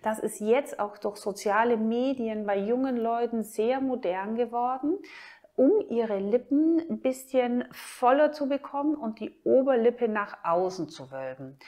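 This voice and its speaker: A woman plastic surgeon with a German accent, calmly explaining a cosmetic procedure to the camera. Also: on the high side. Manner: calm, measured and informative, points while explaining.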